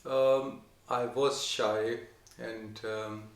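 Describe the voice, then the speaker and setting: A young adult man from Pakistan, answering his wife's questions in a soft, hesitant way with many pauses. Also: lowish voice, little pitch movement. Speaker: interviewee. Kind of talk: casual chat. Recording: echoey room.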